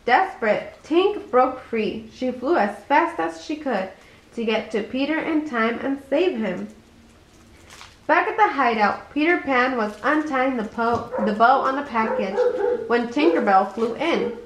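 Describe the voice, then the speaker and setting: Higher-voiced woman reader in an echoey room. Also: animated, unhurried. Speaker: storybook reader. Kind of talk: expressive children's bedtime story read-aloud.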